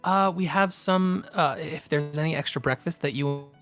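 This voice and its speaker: A man's voice: a little high, moderate pace, a bit bright, soft background music, pitched above the performer's own voice, natural voice. A man voicing a polite, apologetic woman who runs a village eatery in a tabletop game, speaking hesitantly and courteously.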